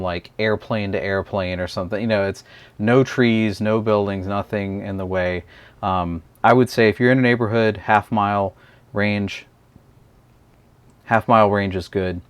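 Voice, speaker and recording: Male reviewer, low voice, hesitant filler-heavy delivery, dry close-miked sound. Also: steady tone, a little slow, smooth, no echo. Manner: hesitant, many ums and uhs, thinks aloud. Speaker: product reviewer. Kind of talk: casual unscripted product demo.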